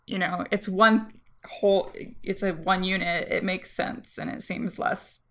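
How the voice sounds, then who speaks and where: A woman's voice: fairly low pitch, fairly even intonation, a bit bright. A woman co-hosting a podcast, chatty and reactive, speaking freely and casually. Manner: chatty, quick reactions, laughs easily, some swearing. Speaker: podcast co-host. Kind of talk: casual chat.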